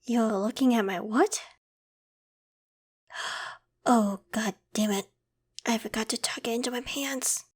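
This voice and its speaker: Friendly, higher-voiced werewolf girl acted by a woman. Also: slow, long pauses, husky. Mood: embarrassed. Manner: casual, conversational, gently protective. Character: friendly, protective half-human female werewolf, young, self-conscious. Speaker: voice actor in audio roleplay. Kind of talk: ASMR roleplay, acted monologue to the listener.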